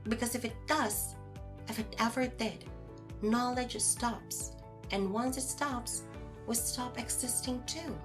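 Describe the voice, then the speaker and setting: A woman playing a calm know-it-all philosopher who lectures a friend in formal, measured wording in a podcast audio drama. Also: fairly high pitch, music in the background. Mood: earnest, measured.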